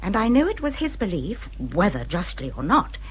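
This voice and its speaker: An actress playing an injured, bitter English widow in a period radio drama, speaking in a formal, emphatic and dramatic way. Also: female voice, medium pitch, sing-song, moderate pace, slightly rough, low-quality recording. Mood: controlled, confiding. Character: bitter, injured widow warning a younger friend.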